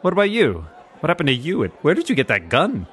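A genial idle millionaire, acted by a man with a very animated, medium-pitched voice. He explains things in a jaunty, knowing way, in the manner of a vintage radio adventure parody. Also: fast. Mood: explaining. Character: genial layabout millionaire playboy, knowledgeable. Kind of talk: old-time radio drama parody acting.

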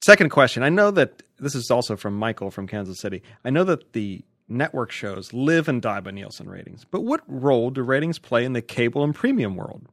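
A man's voice: mid-range voice, sing-song, fairly quick, hardly any pauses, a bit bright, dry recording, studio quality. A man co-hosting a podcast, reading out listener questions and bantering casually with a joking manner.

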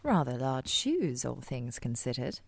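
An actress playing a sly, self-assured British spy, arch and teasing, in a radio comedy-mystery. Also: female voice, very deep voice, sing-song, unhurried, vocal fry, full character voice. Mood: suspicious.

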